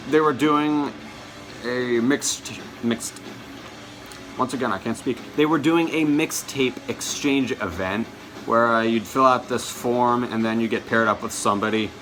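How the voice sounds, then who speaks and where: A man's voice: mid-range voice, noisy background. Casual man rambling to his viewers. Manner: rambling, stumbles and corrects himself. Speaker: vlogger showing his collection. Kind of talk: casual chat to camera.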